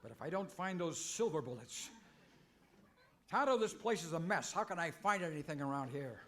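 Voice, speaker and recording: A man's voice: on the high side, animated, normal speed, fairly bright tone. A man playing a flustered, sheepish masked cowboy hero in an old-time radio comedy, earnest and exasperated. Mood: fretful.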